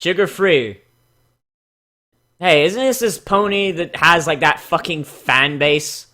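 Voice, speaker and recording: Bright, higher-pitched man, mocking. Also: takes it slowly. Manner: swears freely, mocking and theatrical. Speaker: host of a dramatic reading show. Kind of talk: sarcastic profane read-aloud with casual commentary.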